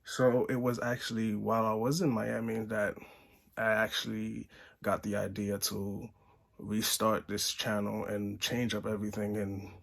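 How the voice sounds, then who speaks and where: A man's voice: fairly low pitch, little pitch movement, a little slow, creaky, a bit bright. A man in his twenties from Haiti, talking earnestly to camera as a channel host, often restarting his sentences and tagging them with "right?". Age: 20s. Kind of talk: casual vlog monologue. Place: Buffalo, New York.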